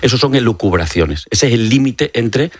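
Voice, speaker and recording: A man's voice: medium pitch, expressive, normal speed, no echo, studio quality. A man from Extremadura with an accent from Spain, a doctor explaining things conversationally and courteously as an interview guest. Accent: Spanish from Spain. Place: radio studio.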